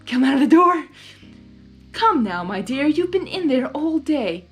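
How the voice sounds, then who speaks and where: A short-tempered trickster monster suitor, acted out by a woman for a storybook. He is demanding and petulant, delivering lines theatrically with lively swings in pitch. Faint music sits behind the voice. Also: a little slow, heavily acted. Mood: impatient.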